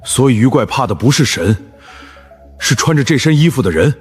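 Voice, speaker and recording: A man voicing a sharp, probing teammate who questions things in an animated survival drama. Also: mid-range voice, a little fast, slightly rough, faint music behind.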